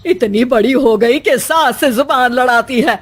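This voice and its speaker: A woman's voice: high-pitched, unhurried, breathy edge. A woman voicing a melodramatic, scolding older mother-in-law in a cartoon story. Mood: indignant. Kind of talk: Hindi cartoon story dubbing.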